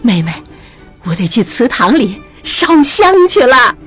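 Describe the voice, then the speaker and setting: A woman's voice: a little high, very animated, measured pace, fairly bright tone, slightly rough, soft background music, pitched above the performer's own voice, heavily acted. A woman voicing a kindly, gracious elder sister-in-law.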